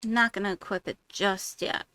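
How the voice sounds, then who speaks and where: A woman's voice: medium pitch, fairly even intonation, normal speed, pushed and bright, dry recording. A woman streaming a video game, giving casual commentary and thinking aloud as she plays. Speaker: gaming streamer. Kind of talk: casual let's play commentary. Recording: game music behind the voice.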